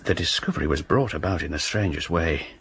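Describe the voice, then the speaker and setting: An actor playing a deferential country innkeeper who gossips with hesitant, chatty speech. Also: male voice, low voice, brisk, a bit bright, breathy edge, full character voice. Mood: gossipy. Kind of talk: radio drama dialogue.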